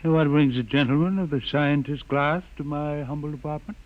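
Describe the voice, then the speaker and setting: An actor playing a solemn, aloof old keeper of a sacred legend in a 1950s radio drama, speaking in an incantatory manner. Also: male voice, on the high side, steady tone, a little fast, natural voice. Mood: guarded, curt. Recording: tinny muffled old radio recording, faint music.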